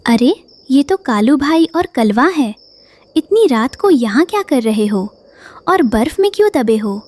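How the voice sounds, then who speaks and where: A woman's voice: high-pitched, big pitch swings, measured pace, darkish tone, no room sound, exaggerated voice. A woman voicing a kind, forgiving mother bird in a Hindi cartoon. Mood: surprised.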